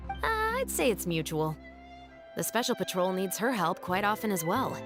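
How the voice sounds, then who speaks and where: A dutiful, wary patrol captain from a game, with a mid-range voice. She speaks in a dry, measured, skeptical way. Game music runs behind her. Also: medium pitch, wildly swooping pitch. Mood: explaining. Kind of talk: game cutscene voice acting.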